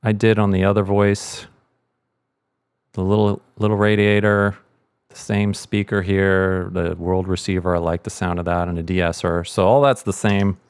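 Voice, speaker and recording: A man's voice: low-pitched, little pitch movement, normal speed, smooth, no echo. A middle-aged man explaining sound mixing in an informal tutorial, thinking aloud as he goes. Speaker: sound mixer giving a tutorial. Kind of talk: casual tutorial walkthrough.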